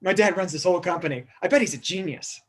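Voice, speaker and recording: A man's voice: sits high, quick pace, breathy edge. A man playing a naive, exuberant grown man raised as an elf in a comedic script read, wide-eyed, earnest and guileless. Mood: hopeful, earnest.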